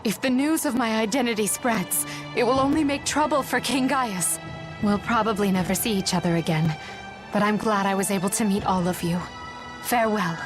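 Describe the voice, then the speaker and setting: A woman's voice: medium pitch, normal speed, close to an everyday voice. An actress playing a proud, disillusioned noblewoman in an English video game. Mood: resigned. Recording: background music under the voice.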